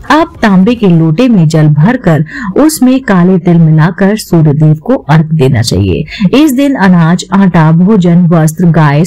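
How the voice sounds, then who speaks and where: A woman hosting a devotional channel, reading a religious explainer as a voice-over in a steady, instructive way and calling her viewers friends. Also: on the low side, low-quality recording. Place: voice-over over stock footage.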